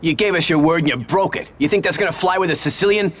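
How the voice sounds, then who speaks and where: A man's voice: fairly high pitch, fast, slight background noise, close to an everyday voice. A man playing a menacing, contemptuous mafia consigliere in a crime game, taunting and dramatic. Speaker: video game character actor. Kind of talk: cinematic crime drama acting.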